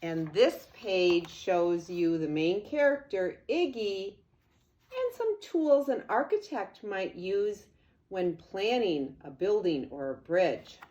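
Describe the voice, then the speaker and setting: An older woman, a grandmother, reading a children's storybook aloud in an expressive, deliberate way. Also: medium pitch, muffled recording.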